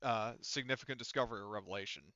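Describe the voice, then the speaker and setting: A man's voice: on the low side, fairly even intonation, racing, pushed and bright, no room sound, highs cut off. A man working as a game master, casually explaining tabletop game rules in a conversational way peppered with swearing. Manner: profane, conversational.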